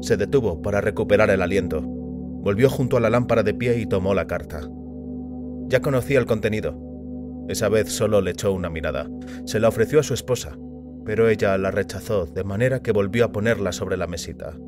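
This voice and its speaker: A man's voice: lowish voice, brisk. A man reading a short story as scripted audiobook narration. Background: music.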